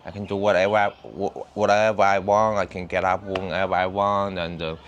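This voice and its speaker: A man from Hunan whose first language is Chinese, chatting relaxed in halting English as an interview guest. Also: sits low, muffled recording, a little hiss or hum. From Hunan, China. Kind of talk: casual chat.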